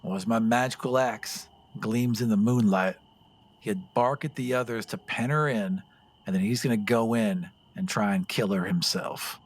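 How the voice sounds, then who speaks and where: A man playing a tabletop game, chatting casually and improvising story in an expressive way. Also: on the low side, normal speed.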